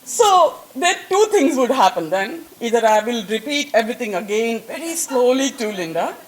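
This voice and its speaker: Woman, Indian accent, talkative speaker at a staff event, bright higher pitch. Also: big pitch swings, normal speed, a little hiss or hum, poor audio. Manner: chatty, laughing at own jokes. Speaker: colleague giving a tribute speech. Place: event room with an audience.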